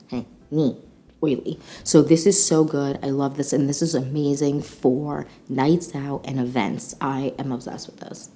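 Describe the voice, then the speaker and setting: Casting: female beauty vlogger, low-pitched and nasal from a mild cold, gushing casual delivery, faint rumble behind. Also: warm tone. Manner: gushing, enthusiastic. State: slightly sick, congested. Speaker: beauty channel host. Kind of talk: casual chatty product review to camera.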